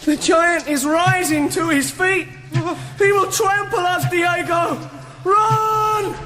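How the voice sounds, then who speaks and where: Panicky high-pitched foolish castle guard. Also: male voice, slow. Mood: frightened, excited. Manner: excitable, breathless storytelling. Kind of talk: gothic audio drama acting.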